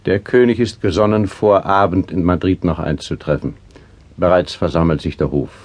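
A deep, dark-voiced courtly priest. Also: male voice. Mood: solemn, probing. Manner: measured, formal, insinuating courtly address. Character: smooth-tongued courtly priest, deferential, probing and calculating. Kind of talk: classical German stage drama acting.

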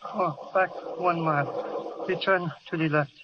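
An actor with an Italian accent playing a frightened Italian soldier who begs and pleads, in a 1930s radio drama. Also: male voice, a little high, steady tone, unhurried, close to an everyday voice. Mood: pleading. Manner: pleading and desperate. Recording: muffled, noisy old radio recording.

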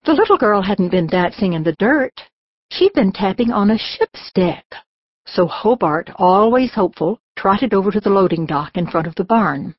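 A woman reading a children's storybook as an audiobook narrator, in an expressive storytelling manner. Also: mid-range voice, moderate pace, dry recording. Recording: dull, lo-fi audiobook sound.

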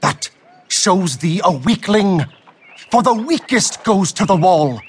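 An actor in a Shakespearean audio drama playing a wry, needling servant with a husky voice and a dry, deliberate manner. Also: male voice, sits high, lively intonation, exaggerated voice. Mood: teasing.

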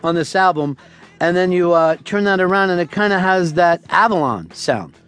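A man hosting a radio talk show, taking callers and telling absurd tall tales with a deadpan, profane delivery. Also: high voice, moderate pace, clear, resonant tone, soft background music.

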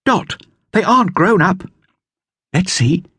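A man's voice: high-pitched, animated, takes it slowly, bright, forceful tone, slightly rough, no echo, pitched above the performer's own voice, heavily acted. A man voicing a curious, practical young boy in a storybook, eager and observant. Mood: excited.

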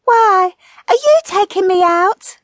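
A shrill older wife from a comic audiobook. She is vain and sharp-tongued, with a very high, swooping voice. She scolds and sneers with theatrical sarcasm. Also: lively intonation, takes it slowly, no room sound, studio quality, heavily acted. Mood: scolding, sarcastic.

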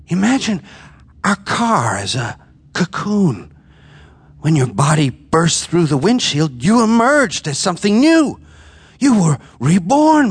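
An actor playing a grief-maddened scientist father in an audio drama, intense and theatrical, with halting repetitions. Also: fairly high pitch, slightly rough, heavily acted. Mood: feverish resolve. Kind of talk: live audio drama acting with monologue narration. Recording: live stage recording with music underneath.

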